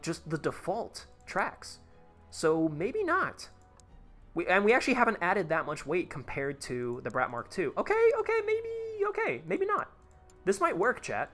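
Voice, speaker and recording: A man's voice: sits high, big pitch swings, normal speed, fairly bright tone, soft background music. A man live-streaming a tycoon game, chatting casually with his viewers in a rambling, profane way while he plays. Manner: rambling, profane, talks to chat while playing.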